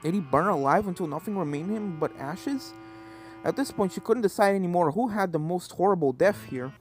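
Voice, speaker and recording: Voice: mid-range voice, animated, a little fast. A reader giving scripted narration of a fan fiction story. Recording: game music behind the voice.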